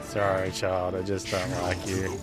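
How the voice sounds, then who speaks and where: A man's voice: low voice, completely flat delivery, unhurried. A man streaming a video game, giving casual running commentary on his play. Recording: loud game music behind.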